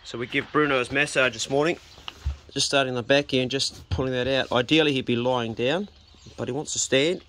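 A man's voice: medium pitch, steady tone, fairly quick, fairly bright tone. A middle-aged man with a New Zealand accent and an ordinary mid-range voice talks in an easy, practical way. He coaxes his dog with "good boy" and "mate" in between plain explanations. He is recorded outdoors on a body-worn action camera, with faint background noise. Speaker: homesteading vlogger. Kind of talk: casual explanatory vlog, talking to his dog. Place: outdoors on a rural homestead and in bush.

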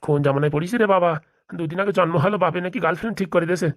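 A woman's voice: fairly low pitch, very fast, dry recording, studio quality, lightly acted. A woman voicing a grumpy, suspicious old village grandfather who grumbles and complains. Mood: grumbling. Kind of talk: comic cartoon voice acting.

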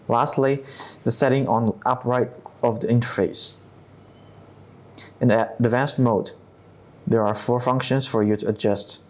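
A man in his thirties narrating a scripted software tutorial step by step, with non-native English phrasing. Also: lowish voice. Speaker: tutorial presenter.

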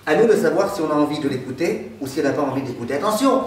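A man in his thirties, a rabbi giving a lively storytelling lesson and joking with his class in a classroom. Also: fairly high pitch, very fast, a little hiss or hum. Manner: animated, gestures broadly, jokes with the class. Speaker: rabbi teaching a Torah class. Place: classroom with a decorated board, indoors. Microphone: far camera. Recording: echoey room from far off.

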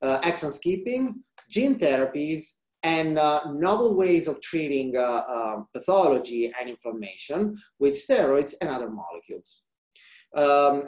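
A man from Italy with an Italian accent, a research biologist giving an online slide presentation in a hesitant way with many pauses. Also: medium pitch, a little mellow. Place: indoor room, video call. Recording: roomy, echoing video call.

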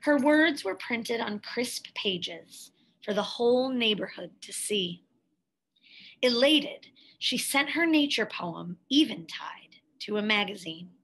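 Female storybook reader, higher-pitched, very measured pace, careful and expressive delivery of scripted narration. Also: poor audio. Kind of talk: scripted picture-book read-aloud.

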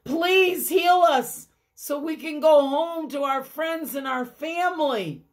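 A woman storyteller voicing a group of outcast men who loudly beg and shout. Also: high-pitched, slow, pitched above the performer's own voice. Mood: pleading. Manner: loud, begging cries. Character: crowd of pleading outcast men.

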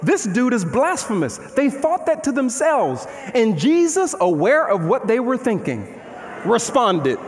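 A man in his thirties preaching an animated, conversational sermon on a large church stage, firing off rhetorical questions while he moves about. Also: extremely high pitch, a little slow. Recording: long hall reverb.

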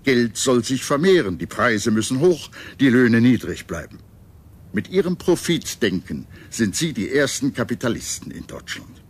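A man reading scripted history documentary narration in a measured, solemn and dramatic manner. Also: mid-range voice, sing-song, slightly rough.

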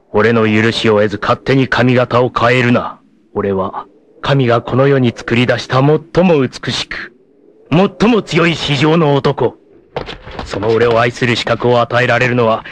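An actor playing a vain, scheming, effete warlord villain in a cartoon, with a haughty, theatrical, word-savoring delivery. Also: male voice, medium pitch, a little hiss or hum, natural voice. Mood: cold contempt. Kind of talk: theatrical anime villain acting.

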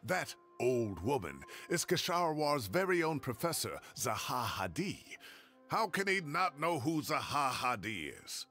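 Cyrus, a fussy elderly professor who grumbles with theatrical outrage, bright and lively. His voice swings up and down as he complains. This is game dialogue. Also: medium pitch, measured pace, faint music behind. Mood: indignant. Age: older. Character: cranky elderly retired male professor. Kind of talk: video game voice acting.

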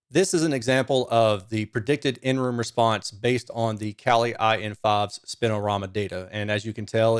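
A man, presenting a technical explainer in a measured, informal way at a close microphone. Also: medium pitch. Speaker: audio reviewer and presenter. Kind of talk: technical explainer, semi-scripted. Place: small home studio room. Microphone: microphone on a stand close in front.

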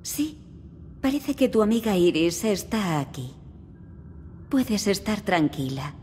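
A woman's voice: mid-range voice, big pitch swings, takes it slowly, a little mellow, slight background noise. An actress playing a composed middle-aged woman in an office, in a video game. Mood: sympathetic.

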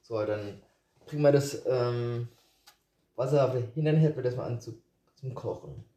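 A man with a regional German dialect chats his way through a home cooking demo, easygoing and a little rambling. His voice is mellow and sits away from the camera in a roomy kitchen. Faint background noise hums underneath. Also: mid-range voice, moderate pace, recorded from a distance. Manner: relaxed, rambling, with hesitations and chuckles. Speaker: home cook vlogger. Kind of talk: casual cooking tutorial. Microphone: camera set on the counter, speaker off to the side.

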